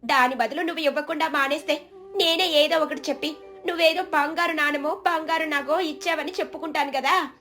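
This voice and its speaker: A woman's voice: high-pitched, fairly even intonation, brisk, bright, forceful tone, soft background music, voice pushed up. A woman voicing a haughty, scornful sister who scolds irritably in a moral story. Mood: scornful, irritated. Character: haughty, scornful younger sister at her daughter's wedding.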